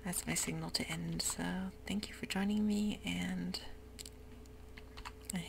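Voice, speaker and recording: A woman speaks in a slow, gravelly whisper, hushed and soft, with many little ums. Her voice sits a touch low, and keys click behind her as she plays a game at her desk. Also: fairly even intonation, a little hiss or hum. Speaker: ASMR gaming creator. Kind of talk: whispered ASMR gameplay narration.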